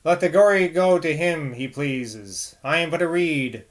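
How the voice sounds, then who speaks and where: A man voicing a hoarse, rumbling, fervent preacher figure in a read-aloud novel. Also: a little slow, lightly acted.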